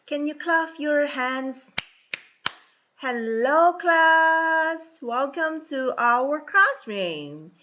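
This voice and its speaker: A woman teacher, drilling a young English class with slow, exaggerated repeat-after-me prompts and soft asides. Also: sits high, animated. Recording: dull lo-fi recording.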